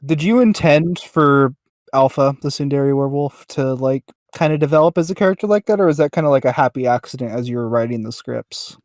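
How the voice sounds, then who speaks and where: A man interviewing casually as a podcast host and fan, speaking in a hesitant, halting way with many ums. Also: mid-range voice, a little mellow, no echo, studio quality.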